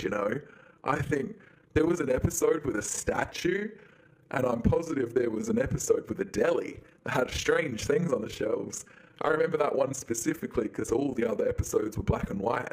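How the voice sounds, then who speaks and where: A man's voice: mid-range voice, brisk, a little mellow, lightly acted, poor audio. A man playing an eager, nostalgic fan, earnest and rambling in a casual voice message for a mystery podcast. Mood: wistful, eager. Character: eager nostalgic male fan, sure of his memories.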